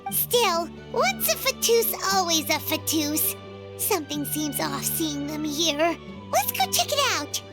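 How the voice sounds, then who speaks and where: A woman voicing a tiny, excitable, childlike fairy girl companion in a video game, with a squeaky, exclamatory delivery. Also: unhurried, full character voice. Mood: wary. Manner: exclamatory, refers to self in third person. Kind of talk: animated video game voice acting. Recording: music behind the voice. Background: game music.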